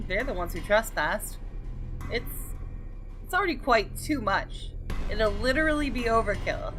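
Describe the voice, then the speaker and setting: A woman's voice: lowish voice, fairly bright tone, natural voice. A woman reading visual novel narration dramatically, voicing the thoughts of an arrogant, menacing gun owner. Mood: smug, scornful. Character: haughty, menacing gunman homeowner narrating his thoughts. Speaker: gaming video host. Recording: clear music behind the voice.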